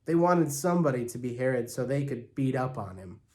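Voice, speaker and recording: A man reading a storybook aloud in an animated narrating style. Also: mid-range voice, fairly quick. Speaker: church staff member reading aloud.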